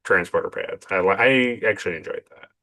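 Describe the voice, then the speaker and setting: Man, podcast co-host, very low voice, casual review chat, laughs a lot. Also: brisk, sharp tone. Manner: laughing, retells scenes with quoted lines.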